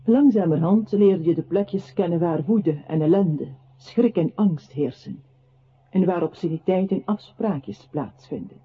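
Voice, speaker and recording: A grave narrator of a lonely downfall in a radio play. The voice is husky and fairly high for a man, with measured literary phrasing. The recording is narrow and phone-like. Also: heavily acted.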